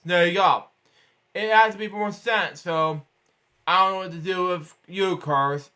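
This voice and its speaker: A man with a high-pitched voice reads a children's story aloud. His tone is bright and forward. He reads haltingly, tripping on words and drifting into little repeated comments between sentences. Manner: halting, stumbles over words and breaks off for repeated asides. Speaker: storybook reader.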